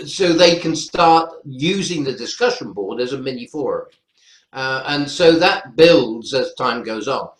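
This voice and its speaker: A man's voice: medium pitch, lively intonation, unhurried. A man with a North Welsh accent, explaining his teaching practice as a lecturer on an online webinar panel. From North Wales. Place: indoor room, online webinar. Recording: roomy echo on webinar audio.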